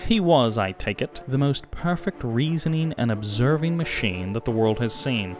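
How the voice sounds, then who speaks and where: Expressive male audiobook narrator, animated pitch. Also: normal speed, music in the background. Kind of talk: scripted literary narration.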